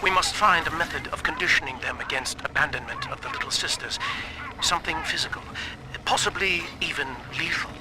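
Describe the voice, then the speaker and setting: A man's voice: on the high side, fairly quick, heavy background noise, close to an everyday voice. An actor playing a coolly ruthless male scientist, musing precisely into an audio diary. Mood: clinical, musing. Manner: precise, reflective.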